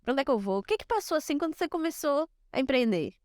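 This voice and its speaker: A woman co-hosting a stage talk, asking the guest eager, rapid questions. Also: high voice, sing-song, darkish tone, no echo, clean studio sound. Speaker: co-host interviewer. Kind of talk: interviewing on stage.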